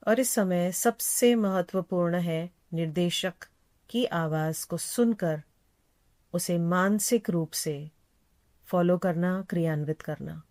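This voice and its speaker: A woman with a slightly low voice guides a relaxation practice as a yoga nidra instructor. She speaks calmly and without hurry, in a soothing way. Instructions come in short, repeated phrases. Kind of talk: slow guided meditation instruction.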